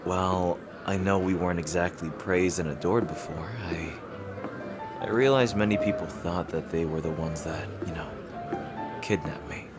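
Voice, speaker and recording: A man playing a guarded, flustered, gently teasing rival fantasy king in an intimate roleplay audio drama, speaking hesitantly. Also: low-pitched, loud music behind the voice, close to an everyday voice. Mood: earnest, subdued. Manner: hesitant, halting.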